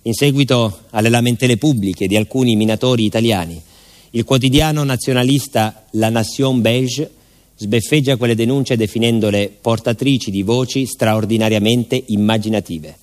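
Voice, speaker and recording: A man giving solemn, measured, scripted documentary narration for radio theatre, with music behind him. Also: mid-range voice, a little mellow. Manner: solemn, measured, deliberate phrasing. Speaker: actor-narrator.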